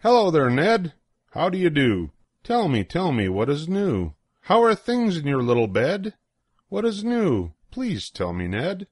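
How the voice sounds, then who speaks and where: An older man reading a children's picture book aloud in an expressive, sing-song way with deliberate pauses. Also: on the high side, slightly rough, dry recording, clean studio sound. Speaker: storybook reader.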